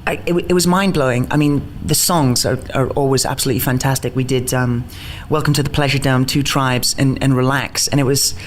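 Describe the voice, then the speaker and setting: A man from the north east of England with a mixed accent, part worn-down Geordie and part American, chatting as an interviewed stage actor, quick and excitable, laughing often and restarting his sentences. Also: mid-range voice, a little hiss or hum. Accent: mixed, mostly neutralised Geordie with American touches. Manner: rapid, bubbly, stumbling restarts, laughs often. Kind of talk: casual interview chat.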